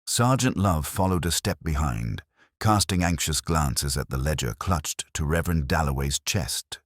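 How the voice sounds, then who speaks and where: A man reading a detective story as scripted dramatic narration, in a measured, literary way. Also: very deep voice, lively intonation, no room sound, clean studio sound.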